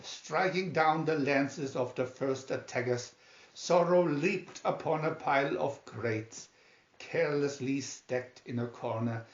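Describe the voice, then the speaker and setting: Male storybook narrator: unhurried, theatrical emphasis, mid-pitched, roomy home recording. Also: highs cut off. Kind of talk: dramatic scripted narration.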